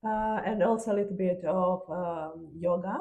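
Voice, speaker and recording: A woman's voice: fairly low pitch, moderate pace, mellow, muffled recording. A woman interviewing a guest in casual conversation, hesitant and searching for words.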